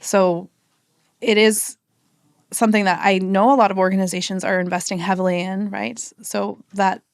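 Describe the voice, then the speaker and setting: A woman's voice: mid-range voice, flat delivery, normal speed, smooth, no room sound, studio quality. A woman, a marketing executive chatting as a podcast guest, thinking aloud with fillers and "right?" tags. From United States. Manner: conversational, thinks aloud with fillers and tag questions. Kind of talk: casual interview conversation.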